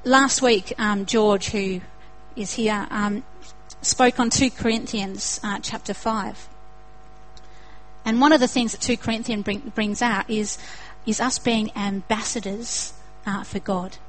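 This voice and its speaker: A woman's voice: medium pitch, steady tone, slow pace, a little hiss or hum, low-quality recording. A young woman with an Australian accent preaching a sermon in an earnest way, building to emphatic repetition.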